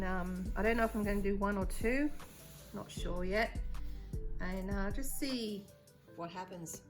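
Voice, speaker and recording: Slow, chatty woman with a croaky voice. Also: mid-range voice. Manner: chatty, unhurried, thinking aloud as she works. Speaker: art tutorial host. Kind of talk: casual painting tutorial talk.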